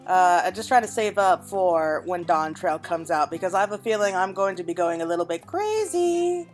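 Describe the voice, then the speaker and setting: A woman's voice: fairly low pitch, animated, normal speed. Chatty woman narrating her video game playthrough. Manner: chatty, musing aloud to the audience. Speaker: game streamer, host of a let's play. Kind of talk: casual let's-play commentary with read-aloud dialogue. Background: game music.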